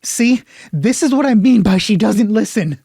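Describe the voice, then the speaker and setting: A man's voice: high-pitched, expressive, moderate pace, creaky, mellow, slightly rough, no room sound, studio quality, voice pushed up, heavily acted. A man playing an angry, exasperated husband in an audio drama, indignant and confrontational.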